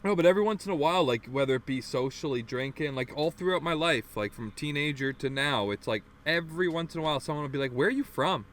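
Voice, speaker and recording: A man's voice: fairly high pitch, expressive, quick pace, few pauses, a bit bright, slight background noise. A man in his thirties from the Toronto area with a Canadian drawl touched by Newfoundland, co-hosting a crude, laughing podcast chat. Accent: Canadian with a Newfoundland-tinged drawl. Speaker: podcast co-host. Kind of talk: casual chat.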